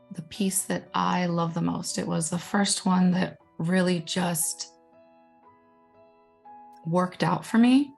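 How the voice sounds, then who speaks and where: A young woman from Guatemala, a Spanish speaker from birth, talks through her artwork in a chatty, enthusiastic way. Her voice sits a little low. Soft music plays faintly behind her. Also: creaky, slightly rough. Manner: chatty and enthusiastic, self-deprecating asides. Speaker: digital storytelling manager. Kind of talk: informal presentation showing her artwork.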